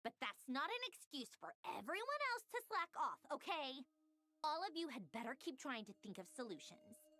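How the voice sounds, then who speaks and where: A woman voicing an excitable, bossy young female researcher in a video game, emphatic and dramatic. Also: sits high, normal speed, no echo, heavily acted. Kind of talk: English video game voice acting. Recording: music under the voice.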